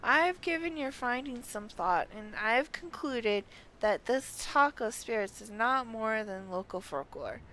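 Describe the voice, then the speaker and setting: A woman reading game quest dialogue as a curious, self-important female scholar with a formal, deliberate, sometimes halting delivery. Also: fairly high pitch, expressive, bright, forceful tone. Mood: measured, curious. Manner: formal, deliberate, halting over odd words. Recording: clearly noisy background.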